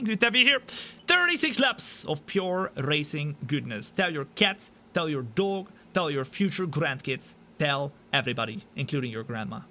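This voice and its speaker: Male sim racing streamer, bright, forceful voice, high-energy hype and chatty tangents; live stream feel. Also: slight background noise. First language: Dutch. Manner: energetic, hype shout-outs, rambling asides. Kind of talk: live stream chat while gaming.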